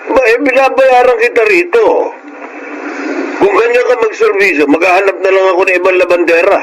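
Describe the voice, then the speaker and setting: A man acts a grumbling, bossy middle-aged uncle in a Filipino radio drama. His voice sits fairly high for a man, with a Filipino accent and an irritable, fault-finding manner. Faint noise runs behind it. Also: fairly even intonation, fairly quick, lightly acted. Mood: annoyed, complaining.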